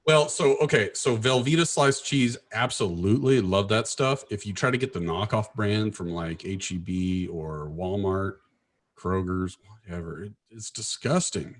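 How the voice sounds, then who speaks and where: A man's voice: fairly low pitch, moderate pace. A man co-hosting a casual podcast, teasing his co-host and joking around. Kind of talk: casual banter.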